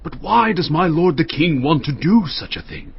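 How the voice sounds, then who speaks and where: An actor playing a deferential, troubled army commander who pleads formally in a dramatized scripture production. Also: male voice, a little high, lively intonation, moderate pace, creaky, husky, muffled recording, full character voice. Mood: respectful objection.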